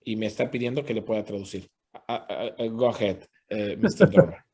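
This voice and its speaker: Brisk bilingual male meeting interpreter. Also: mid-range voice, big pitch swings, vocal fry, mellow, a little hiss or hum. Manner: brisk, switches between Spanish and English, uses fillers like "este" and "pues". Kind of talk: interpreting live at an online community meeting. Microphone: video call.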